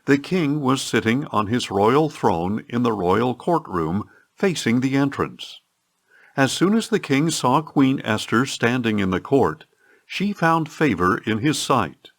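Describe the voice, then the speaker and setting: Guy narrating a bible audiobook, medium pitch, really animated intonation, expressive reading. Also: measured pace. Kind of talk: scripted scripture narration.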